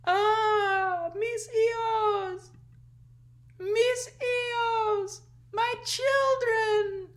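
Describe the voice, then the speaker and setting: A man voicing a ghostly weeping woman from a storybook, wailing for her children in a drawn-out, melodramatic way. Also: very high-pitched, fairly even intonation, a bit bright, voice pushed up, heavily acted. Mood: grief-stricken wailing.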